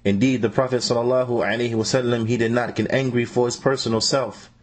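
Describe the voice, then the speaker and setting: A man reading out a translated religious lecture in a measured way as a translator. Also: mid-range voice, steady tone. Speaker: translator reading an Islamic scholar's answers.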